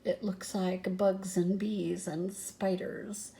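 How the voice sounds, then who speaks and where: A woman's voice: mid-range voice, flat delivery, slow, vocal fry, warm tone, slight background noise. An older woman reading a children's story aloud expressively, with chatty asides to the listener. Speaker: storybook reader.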